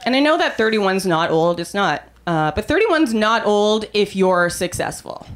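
A woman in her thirties from Canada performs stand-up on a club stage, chatty and quick to laugh at her own lines. Her pitch swings widely from line to line. The live recording carries clear crowd noise underneath. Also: sing-song. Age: 30s. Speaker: stand-up comedian. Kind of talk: stand-up set. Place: comedy club stage with audience.

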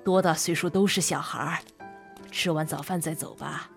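Elderly, fussing grandmother, lowish pitch, affectionate, audio drama with music behind. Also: steady tone, moderate pace, creaky. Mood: doting. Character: doting, fussing old grandmother. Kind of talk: Chinese audio drama acting.

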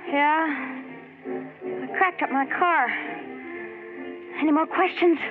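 A frightened young woman speaks in a high, stammering voice that comes out slowly. It sounds like a tinny 1940s radio broadcast with faint music behind. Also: fairly even intonation. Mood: weary. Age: young adult. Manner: breathless, halting, stammering. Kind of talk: 1940s radio drama acting. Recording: tinny old radio recording.

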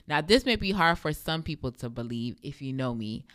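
A young woman with a deep voice hosting a devotional podcast, speaking emphatically and repeating phrases for effect. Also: expressive, fairly quick, a bit bright, no echo, clean studio sound. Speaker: podcast host.

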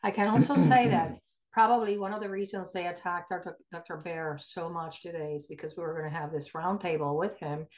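Woman, lowish pitch, podcast co-host, earnest advocacy talk, emphatic, halting phrasing. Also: fairly even intonation, darkish tone, rough recording.

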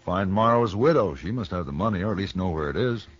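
An American man playing a grave, courteous gunfighter for hire in a radio western, speaking in a measured, brooding way. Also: low voice, animated, dry recording. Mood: businesslike. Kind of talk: 1950s radio western acting. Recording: muffled 1960 radio broadcast.

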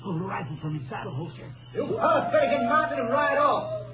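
Male, edgy western character; very high, jumpy voice, thin vintage radio sound. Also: wildly swooping pitch, brisk, echoey room, over a noisy crowd, full character voice. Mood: suspicious. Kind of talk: 1950s radio western acting. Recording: tinny old radio recording, noisy background.

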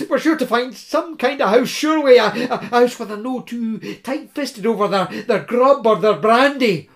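A man's voice: very high-pitched, moderate pace, pitched above the performer's own voice. A man voicing a hungry, jittery young male student who stammers and wheedles. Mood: frightened. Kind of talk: storybook character voice.

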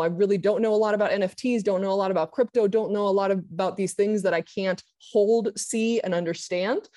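A woman's voice: medium pitch, mellow. A woman who grew up in Argentina, a guest speaking candidly in a casual interview. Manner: candid, quick, many restarts.

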